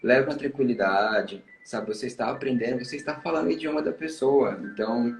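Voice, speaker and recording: Brazilian male English teacher, upbeat encouraging explainer, talks fast, echoey room sound. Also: mid-range voice, gravelly, faint music behind. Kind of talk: casual conversation giving advice. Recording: roomy echo.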